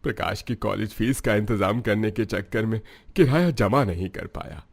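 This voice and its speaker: A man's voice: lowish voice, normal speed, gravelly. Guy voicing a poor humble cook, polite and worried, cartoon dad. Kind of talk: cartoon voice acting.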